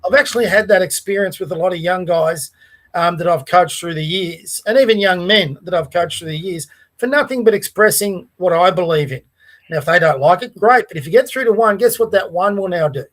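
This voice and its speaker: A man with an Australian accent and a fairly high voice talks through his views in a casual podcast chat. He speaks earnestly, restarting phrases and checking in with the listener as he goes. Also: a little fast, fairly bright tone. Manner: earnest, restarts and asides like "right?". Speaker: podcast host. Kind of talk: casual political discussion.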